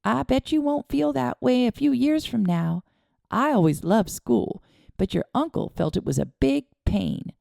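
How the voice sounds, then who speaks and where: A cheerful aunt speaking with amused brightness, lifting her voice above the narration, as a woman reading a storybook plays her. The tone is mellow with a gravelly edge. Close and dry. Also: fairly high pitch, lively intonation, unhurried, dry recording, pitched above the performer's own voice, exaggerated voice.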